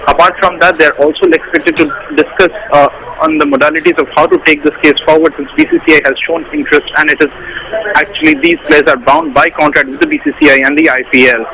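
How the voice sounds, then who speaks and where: A man's voice: a little high, fast talker, pushed and bright, low-quality recording. A man with an Indian English accent giving a live news report over the phone. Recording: phone report with clear background noise.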